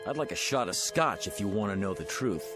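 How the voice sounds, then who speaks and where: An actor in a radio drama playing a brash, bitter working-class hustler who talks in combative, slangy wisecracks. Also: male voice, lowish voice, expressive, brisk, over music, natural voice. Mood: sullen, irritable.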